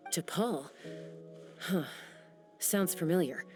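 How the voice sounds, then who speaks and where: A woman's voice: lowish voice, extremely slow, over music. A woman playing a tough young gunslinger who questions a prisoner with blunt, commanding, clipped questions in a game cutscene.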